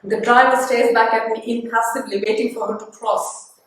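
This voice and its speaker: A woman's voice: a little high, steady tone, brisk, lightly acted. A young woman acting a bitter, tormented pedestrian in a dramatic theatrical monologue, intense and scornful. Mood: tense, shaken. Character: bitter, judgmental, tormented pedestrian recounting a street incident. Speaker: student actor. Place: studio, live-streamed stage performance. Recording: very echoey studio livestream, distant mic.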